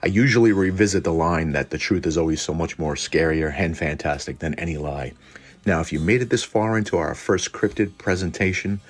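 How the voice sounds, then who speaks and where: A man hosting a lore deep dive in a colloquial, irreverent way, part scripted commentary and part casual aside. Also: husky. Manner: colloquial, irreverent, mildly profane. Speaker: host of a cryptid and tabletop lore channel.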